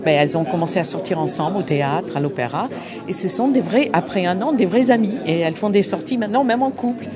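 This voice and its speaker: A middle-aged woman, an English speaker, answering interview questions at an open day, halting and searching for words with frequent restarts. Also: on the low side, lively intonation. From United States. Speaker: association representative. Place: busy open-day event. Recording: crowd noise behind. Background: crowd chatter.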